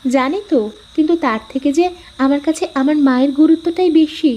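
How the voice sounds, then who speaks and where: A woman's voice: high-pitched, a little fast, warm tone, lo-fi sound, natural voice. A woman voicing a pouty, dutiful young village wife in a cartoon. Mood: calm. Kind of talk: cartoon voice acting.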